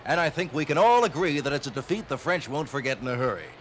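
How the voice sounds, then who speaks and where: A man playing an excitable TV sportscaster who gives brash, booming play-by-play. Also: fast, a little hiss or hum, close to an everyday voice. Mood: excited. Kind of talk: mock sports commentary.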